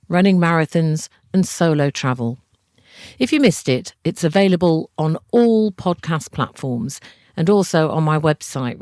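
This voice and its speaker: A woman's voice: lowish voice, no room sound, clean studio sound. An older woman hosting a podcast, introducing it and chatting with her guest in a conversational interview style. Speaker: podcast host.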